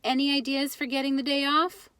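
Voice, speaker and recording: A woman's voice: high-pitched, level tone, fairly quick, a bit bright, dry recording, voice pushed up. A woman voicing a cheeky, talkative boy best friend in a children's storybook, emphatic and questioning. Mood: eager. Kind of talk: children's storybook read-aloud.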